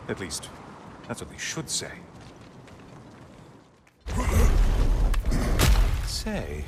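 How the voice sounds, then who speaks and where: An actor playing a sardonic male sun god, a severed talking head, in a video game, speaking with mocking, theatrical sarcasm. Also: mid-range voice. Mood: taunting. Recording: game audio with loud music behind.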